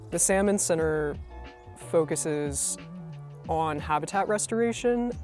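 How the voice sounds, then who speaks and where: A woman in her twenties from North Carolina, a crew's media officer, explaining clearly in documentary narration. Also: deep voice, expressive, a little slow, a little mellow, soft background music. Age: 20s. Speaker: media officer, crew assistant supervisor.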